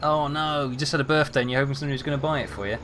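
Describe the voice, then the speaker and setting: Male streamer, relaxed and chatty, mid-range voice, steady hum behind. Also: medium pitch, very fast. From England. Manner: relaxed, chatty, answers chat while playing. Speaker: game streamer. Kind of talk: casual gameplay commentary, chatting with viewers.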